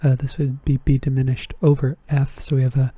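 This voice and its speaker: A man with a strained, slightly hoarse voice explaining harmony on a score at his desk, thinking aloud and correcting himself. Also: mid-range voice, little pitch movement, fairly quick, mellow, no echo. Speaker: music theory teacher. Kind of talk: casual music theory lesson. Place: indoors at a desk.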